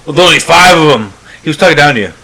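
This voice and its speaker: A man co-hosts a casual podcast and talks in rapid, rambling bursts of banter. His voice is bright and forceful and sits a little high. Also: animated, creaky, low-quality recording. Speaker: podcast co-host.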